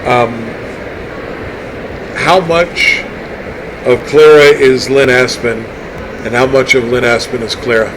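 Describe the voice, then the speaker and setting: A man's voice: mid-range voice, steady tone, slow, long pauses. A man hosting an interview, asking engaged, reflective follow-up questions. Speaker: interview host. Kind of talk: conversational interview. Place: noisy public venue. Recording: noisy background.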